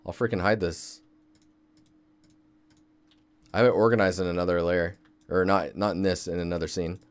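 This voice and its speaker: A young man talks through his work on a casual live stream, easygoing and chatty as he answers viewers. His voice sits low and smooth. He is recorded at his desk on a close microphone. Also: steady tone, quick pace, no room sound. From Seattle, Washington State, US. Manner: laid-back, thinks aloud while working. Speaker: game environment artist streaming.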